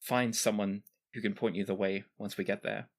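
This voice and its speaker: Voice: low-pitched, steady tone, normal speed, voice pushed down. A game master voicing a laconic captain character in a tabletop game. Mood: matter-of-fact.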